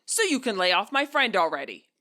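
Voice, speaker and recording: A woman's voice: medium pitch, big pitch swings, fairly quick, pushed and bright. A woman voicing a defiant, protective teenage boy, mocking and confrontational, in a dramatised fanfiction reading.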